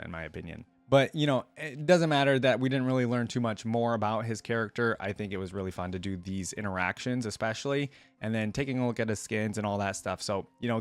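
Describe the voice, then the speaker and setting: A man's voice: lowish voice, dry recording. A man hosting a reaction video, giving casual, unscripted commentary to viewers in a rambling, conversational way.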